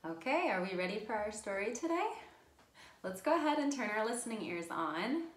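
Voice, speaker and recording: A woman's voice: a little high, sing-song, brisk, reverberant. A woman hosting a children's storytime, speaking simply and encouragingly as if to young children. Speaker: children's library storytime host.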